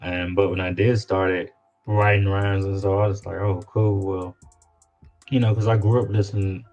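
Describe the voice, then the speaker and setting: A man with a Louisiana accent chatting casually as a guest, halting and often repeating his phrases. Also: low voice, flat delivery, highs cut off, faint music behind. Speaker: guest rapper. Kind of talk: casual chat.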